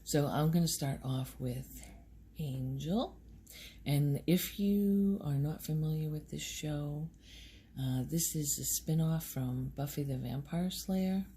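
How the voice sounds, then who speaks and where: A woman hosting a soft-spoken ASMR video, talking casually in a gentle, hushed and unhurried manner. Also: low voice, slight background noise. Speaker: host of a relaxation video channel.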